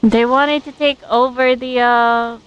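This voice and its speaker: A woman streaming a game, casually commenting on the story as she haltingly thinks aloud. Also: a little high, steady tone, clean tone, lo-fi sound.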